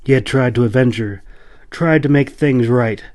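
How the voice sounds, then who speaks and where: A man's voice: medium pitch, normal speed, a little mellow. A man narrating a fantasy adventure as a scripted audiobook, in a solemn, theatrical manner. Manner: solemn, theatrical, swells on action and drops for somber passages. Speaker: audiobook narrator, author reading own book. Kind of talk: dramatic scripted fantasy storytelling.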